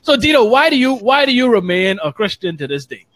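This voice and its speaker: A man hosting a casual podcast, asking his guest direct questions in a brisk way. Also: high voice, big pitch swings, a bit bright, no room sound. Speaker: podcast host.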